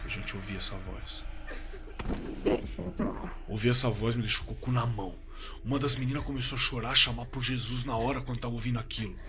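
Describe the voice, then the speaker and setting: A man with a Brazilian accent playing a shaken young man recounting a frightening night in a halting, colloquial way, in a horror audio drama. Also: mid-range voice, steady tone, background noise, close to an everyday voice. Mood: frightened. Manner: halting, repeating words, colloquial. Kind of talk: dramatized first-person horror story, told conversationally.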